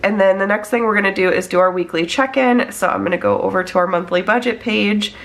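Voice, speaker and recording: A woman's voice: medium pitch, sing-song, quick pace. A woman in her thirties, congested from a cold, chatting casually as she plans her budget. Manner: chatty, talks through her steps. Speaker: budget planning vlogger. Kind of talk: casual chat while planning on a tablet.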